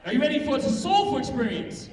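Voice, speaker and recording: A man's voice: very high voice, very animated, fast. A man speaking as master of ceremonies at a live stage event, rousing the audience with church-style exhortation and call-and-response. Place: large echoey hall with live audience. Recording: echoey hall from far off, crowd noise.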